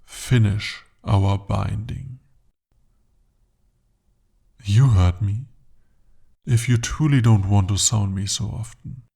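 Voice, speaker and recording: A man voicing a breathy, possessive bound demon. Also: lowish voice, full character voice. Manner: intimate, soft-spoken, slow and deliberate. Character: jealous, possessive male demon bound to a sorcerer. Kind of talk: ASMR roleplay.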